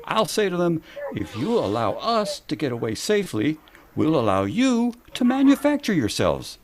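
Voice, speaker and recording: A man's voice: fairly high pitch, huge pitch swings, moderate pace, background noise. A man playing an eager, scheming colleague with an urgent, persuasive manner in a 1930s-style radio drama. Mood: urgent persuasion.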